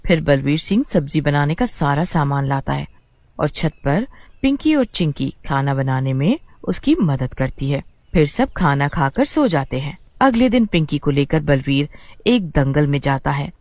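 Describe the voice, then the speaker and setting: A woman's voice: low-pitched, sing-song, normal speed, darkish tone, no room sound. A woman narrating a scripted children's story as the storyteller.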